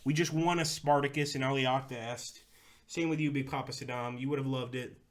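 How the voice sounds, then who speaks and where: A young man streams a strategy game and rambles as he plays, greeting viewers and talking through each move. His voice sits at an ordinary middle pitch, and the talk is loose and unscripted. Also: fairly even intonation, a little fast. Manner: rambling, chats with viewers, talks through moves. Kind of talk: casual live-stream game commentary.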